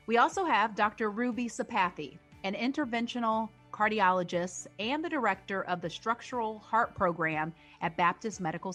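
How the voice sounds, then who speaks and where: A woman hosting a podcast, who reads her scripted introduction in a clear, measured way before she turns to her guests with questions. Her voice sits at an ordinary pitch, and soft music plays faintly behind it. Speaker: podcast host, journalist.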